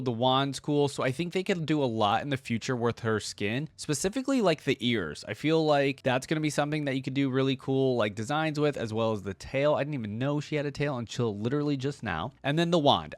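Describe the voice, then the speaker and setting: A man's voice: mid-range voice, dry recording. A man giving casual, conversational reaction commentary as a host talking directly to his viewers. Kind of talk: casual reaction commentary, sometimes reading aloud.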